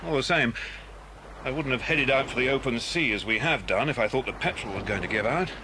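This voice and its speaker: An actor with a British accent playing a brave, hearty grown-up family friend in a children's audio drama. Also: male voice, medium pitch, animated, brisk, natural voice. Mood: explaining. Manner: hearty, commanding. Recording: noisy 1980s cassette drama, engine ambience.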